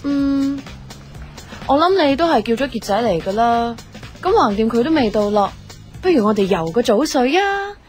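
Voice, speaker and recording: Woman acting a high-voiced, carefree Hong Kong girl. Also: very animated, normal speed. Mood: casual. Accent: Hong Kong Cantonese. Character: carefree, teasing, bold young woman. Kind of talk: radio drama dialogue. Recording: music under the voice.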